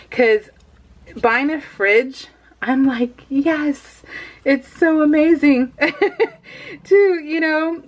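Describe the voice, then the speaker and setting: A woman vlogger in her forties chats casually while she works on a scrapbook page. Her voice sits slightly high and she rambles cheerfully, breaking into giggles and restarting her sentences. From California. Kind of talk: casual chat while crafting.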